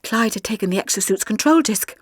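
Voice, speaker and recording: A woman voicing an earnest teenage boy hero with a breathy, urgent manner in an audiobook. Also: medium pitch, lively intonation, brisk, no room sound, studio quality, close to an everyday voice. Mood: relieved, explaining.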